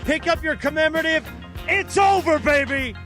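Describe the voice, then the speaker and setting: A high-pitched American man co-hosting sports radio, deadpan and wry, as if reading a mock announcement. Faint music under him. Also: normal speed. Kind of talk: casual sports talk.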